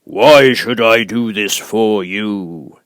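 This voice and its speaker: Oz, a booming, imperious giant head. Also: male voice, very slow, dry recording, clean studio sound. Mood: imperious, questioning.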